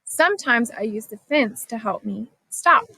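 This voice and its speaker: An upbeat storybook girl, played by a woman reader, fairly high in pitch. She sounds cheerful and sure of herself, in a dry recording. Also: animated, a little slow, bright, forceful tone, slightly rough, dry recording, full character voice, low-quality recording. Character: Kai, upbeat girl learning to skate.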